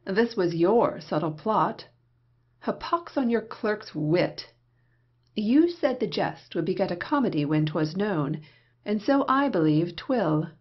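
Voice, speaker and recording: A dry, irritable schemer of period comedy acted by a woman speaks with cynical bite. The voice is husky and unhurried. The recording is somewhat muffled. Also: medium pitch, lively intonation. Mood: irritated. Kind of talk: Restoration comedy audio play acting.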